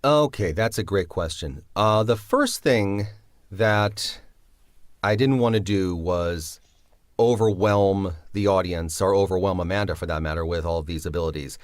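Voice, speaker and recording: A man's voice: sits low, unhurried, no echo, clean studio sound. A man who writes and created a drama series, explaining his story choices thoughtfully in an interview, with some filler sounds. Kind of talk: interview answer.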